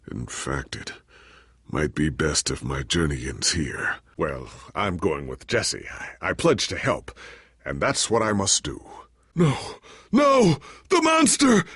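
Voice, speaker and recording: An actor playing a proud but anxious, forgetful legendary warrior in a video game, with an earnest, formal, theatrical delivery. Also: male voice, low-pitched, bright, forceful tone, husky, dry recording, clean studio sound, full character voice. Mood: weary, defeated, then panicked shouting. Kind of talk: dramatic video game voice acting.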